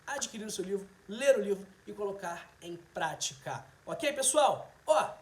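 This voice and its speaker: A man's voice: high-pitched, animated, normal speed. A man of about thirty with a Brazilian accent, chatting casually and directly to viewers as a livestream host. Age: 30s. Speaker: host, health video presenter. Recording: roomy, echoing sound.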